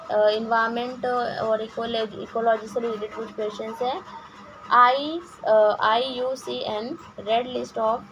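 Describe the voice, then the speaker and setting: A hoarse woman with a sore throat, teaching exam-prep questions and answers with frequent hesitations and restarts, mixing English words into her talk. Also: a little high. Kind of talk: reading quiz questions and answers, casual explanation. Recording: lo-fi home recording with noise.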